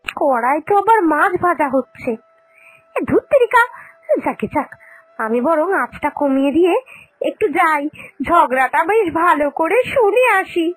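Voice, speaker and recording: A shrill, very high-pitched young village wife, nosy and jealous, acted out by a woman for a cartoon. Her voice swoops widely as she scolds in a quick-tempered, excitable way. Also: faint music behind, poor audio. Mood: gleeful. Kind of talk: cartoon voice acting.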